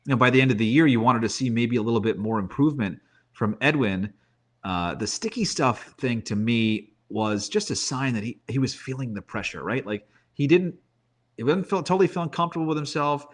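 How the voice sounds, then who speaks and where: A man's voice: medium pitch, animated, fairly quick. Man talking baseball conversationally. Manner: conversational, thinks aloud, rhetorical questions. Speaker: baseball analyst guest. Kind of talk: casual sports talk, opinionated analysis.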